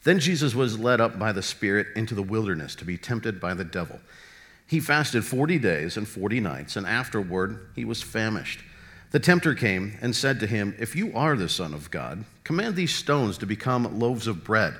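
A man giving an expressive scripted reading of a Bible story. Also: lowish voice, moderate pace. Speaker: scripture reader.

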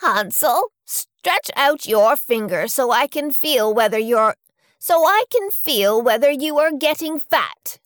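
A woman's voice: high voice, lively intonation, slow, no room sound, clean studio sound, pitched above the performer's own voice. A woman voicing a mean, greedy old witch in a storybook tale, speaking in a menacing, wheedling way. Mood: bossy.

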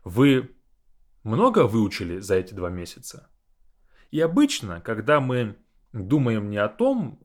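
A man speaking in a reflective, conversational way, as a teacher sharing his views. His mid-pitched voice moves a lot, climbing and dipping with emphasis, and he takes his time. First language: Russian. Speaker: Russian teacher and podcast host. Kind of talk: casual reflective monologue.

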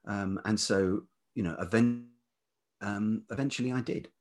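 A middle-aged man from the UK, a writer and producer, telling stories in a relaxed interview, halting with many ums and restarts. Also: lowish voice. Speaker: podcast guest, writer and producer.

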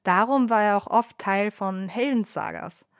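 A woman's voice: mid-range voice, unhurried, dry recording. A woman hosts her podcast with a cold, delivering scripted history in a playful, wry tone. Her voice sits in a mid range for a woman. The recording is dry and close, with no room sound. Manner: playful, wry storytelling with ironic asides. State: has a cold. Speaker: podcast host, bartender.

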